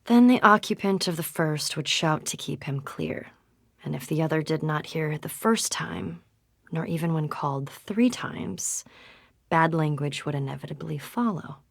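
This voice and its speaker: An actress playing a reflective female researcher, speaking softly in an understated, natural way. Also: sits low, moderate pace, vocal fry, no echo, clean studio sound. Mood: calm, contemplative. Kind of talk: audio drama reading and conversation.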